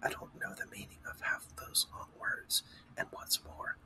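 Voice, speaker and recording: A man voicing a cheeky, plain-spoken young eaglet in a storybook, mocking and blunt, in a breathy whisper. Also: a little slow, far from the mic, lightly acted.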